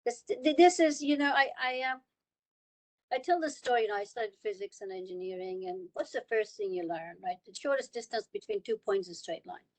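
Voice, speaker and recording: A woman's voice: mid-range voice, expressive, brisk, low-quality recording. Woman from Iran, panelist on a video call, earnest, hammers points home by repeating words. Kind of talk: panel discussion remarks.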